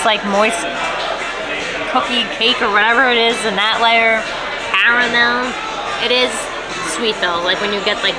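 A woman's voice: a little high, rough recording. A woman in her thirties chatting casually at a restaurant table as she tastes and rates dishes, often searching for her words. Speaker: food vlogger. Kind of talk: casual food review.

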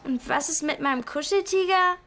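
A young actress playing a touchy, homesick teenage exchange student in a naturalistic TV drama. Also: female voice, high-pitched, normal speed, sharp tone. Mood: upset. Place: furnished rooms, indoors.

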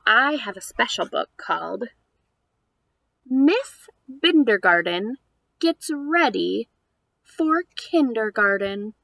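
A woman's voice: on the high side, very animated, slow pace, gravelly, fairly bright tone, no echo, low-quality recording. A woman in her thirties speaking as a preschool teacher to young children, in an exaggerated sing-song read-aloud delivery. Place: outdoors on a house porch.

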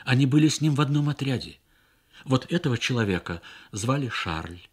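A man voicing an earnest young woman interpreter in a radio story, explaining in a measured way. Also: mid-range voice, expressive, slightly rough. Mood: sober, informing. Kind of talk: Soviet radio story reading.

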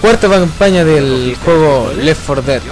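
A man's voice: a little high, unhurried, low-quality recording. A young man with a Chilean accent giving casual, slangy gameplay commentary, swearing and bossing his teammates around. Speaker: gaming video host. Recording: game music and sounds behind. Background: loud video game music and effects.

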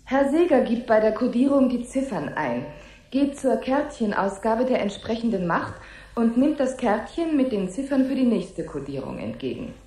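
Radio play: matter-of-fact woman official, mellow tone, formal explanation, roomy acoustic. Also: a little high, big pitch swings, normal speed. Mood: composed, factual. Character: composed female Soviet technical delegate explaining procedure. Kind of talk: formal answer in 1970s radio drama.

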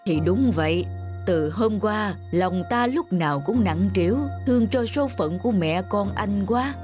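An actor voicing a solemn old male Taoist sage in an animated folk tale, speaking gravely and with measured words. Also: mid-range voice, faint music behind, close to an everyday voice. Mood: relieved, gentle.

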